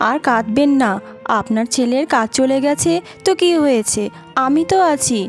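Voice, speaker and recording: A woman playing a gentle, steadfast young wife in a cartoon, soothing and encouraging in manner. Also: sits high, moderate pace, natural voice. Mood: reassuring. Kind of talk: cartoon dubbing. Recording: music behind the voice.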